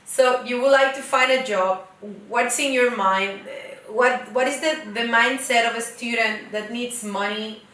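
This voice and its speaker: Bright-voiced woman host, echoey room. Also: a little high, fairly even intonation, unhurried, hardly any pauses. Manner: chatty, guiding questions. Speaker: host, interviewer. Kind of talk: casual interview chat. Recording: echoey room, distant mic.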